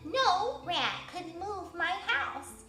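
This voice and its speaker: Woman putting on an anxious mother mouse, squeaky high voice, soft pleading, roomy echo, faint music. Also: very animated, very slow, full character voice. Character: anxious widowed mother mouse, timid and earnest.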